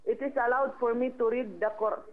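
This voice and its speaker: Woman from the philippines on a phone line asking a question, polite and a bit halting, sounds tinny. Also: fairly high pitch, steady tone. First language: Filipino language. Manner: polite, halting, sprinkles Arabic phrases. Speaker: caller.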